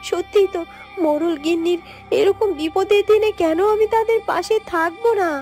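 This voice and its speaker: Very high-voiced earnest village girl played by a woman. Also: moderate pace, a little mellow, soft background music. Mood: puzzled, musing. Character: wronged gentle village girl, bewildered and earnest. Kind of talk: Bengali fairytale cartoon voice acting.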